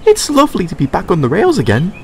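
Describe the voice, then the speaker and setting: Cheerful chatty coach, put on by a male storyteller, high voice. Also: huge pitch swings, fast, vocal fry, exaggerated voice. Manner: eager and friendly. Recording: background music.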